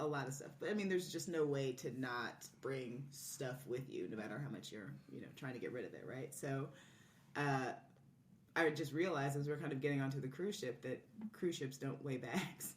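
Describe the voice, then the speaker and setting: A woman's voice: a bass voice, normal speed. A woman talking casually as a guest on a podcast. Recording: roomy, distant mic, faint noise.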